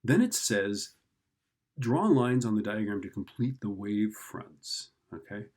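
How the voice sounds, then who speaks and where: A man's voice: on the low side, expressive, measured pace, darkish tone. A man teaching physics informally, working through a problem step by step and thinking aloud in a casual, check-in manner. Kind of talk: informal worked-problem explanation.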